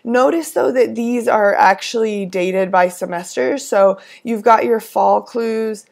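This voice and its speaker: A hoarse woman coordinating a lab course, briefing teaching assistants in a friendly, instructive way with frequent fillers and restarts. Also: a little high, a little slow, darkish tone. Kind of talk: recorded weekly instructional briefing.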